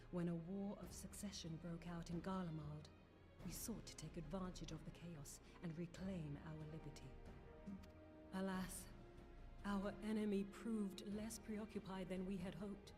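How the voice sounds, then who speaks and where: A woman acting a low, solemn exiled noblewoman. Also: steady tone, unhurried, over music, lightly acted. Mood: somber. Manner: formal, solemn, deferential. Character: dignified, humble exiled noblewoman pleading for her people. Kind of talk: scripted fantasy game acting.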